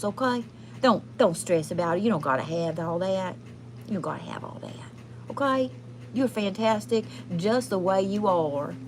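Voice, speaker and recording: A woman's voice: mid-range voice, natural voice. A woman playing a brassy, foul-mouthed but kindhearted trailer park fortune teller with a Southern US accent, chatting folksily through a live card reading. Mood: exasperated, chatty. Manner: folksy, sweary, chatty, calls listeners honey and y'all. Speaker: livestream tarot reader. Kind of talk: casual comic live card reading in persona.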